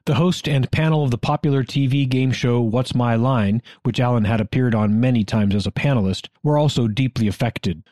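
A man's voice: mid-range voice, fairly quick, hardly any pauses, darkish tone, dry recording, clean studio sound. A man with an American accent co-hosting a history podcast, reading scripted narration. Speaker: podcast co-host.

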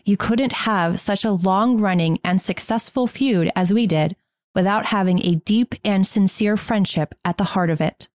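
Female podcast co-host, American, medium pitch, scripted historical storytelling in a dry, close recording. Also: moderate pace, darkish tone, no room sound.

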